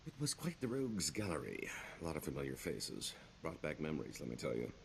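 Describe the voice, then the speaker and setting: Boastful middle-aged scoundrel, low voice, swooping intonation, sly video game sarcasm, background noise. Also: male voice, huge pitch swings, a little fast, creaky, full character voice. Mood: wry, boastful. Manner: wry, wheedling, theatrical sarcasm. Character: roguish male career criminal, wry, boastful, scheming veteran.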